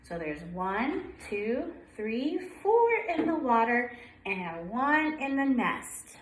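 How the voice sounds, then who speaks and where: A woman's voice: fairly high pitch, very animated, slow, a little hiss or hum. A woman preschool teacher reading a picture book aloud to young children, prompting with questions and sing-song counting. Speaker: preschool story-time teacher. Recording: roomy echo.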